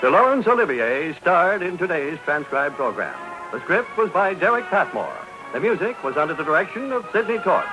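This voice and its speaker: High-pitched, animated male radio announcer. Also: normal speed, poor audio. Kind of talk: scripted 1950s radio announcing. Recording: old 1950s radio recording. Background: loud music.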